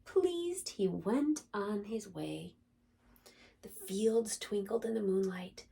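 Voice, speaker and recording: Very animated woman reading a children's bedtime story. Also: mid-range voice, vocal fry, slightly rough, distant mic. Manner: animated, dramatic, deliberate phrasing for small children. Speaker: storyteller reading a picture book aloud for children. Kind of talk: expressive children's bedtime story read-aloud.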